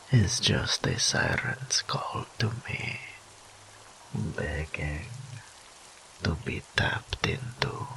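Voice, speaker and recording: A man voicing a seductive, arrogant incubus demon in an intimate roleplay audio drama, breathy and often whispering, with teasing pauses. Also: deep voice, a bit bright, full character voice, poor audio. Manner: slow, teasing, drawn-out phrases with pauses.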